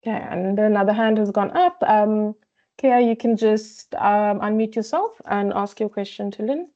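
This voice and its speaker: A woman's voice: mid-range voice, normal speed, dark tone. A woman moderating an online Q&A, relaying chat questions hesitantly with many fillers. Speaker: meeting moderator. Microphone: video call. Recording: dull lo-fi call audio.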